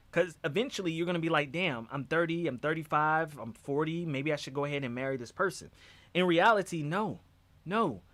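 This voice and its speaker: A man's voice: a little high, a bit bright. A man hosting a livestream discussion, talking emphatically and repeating words for stress.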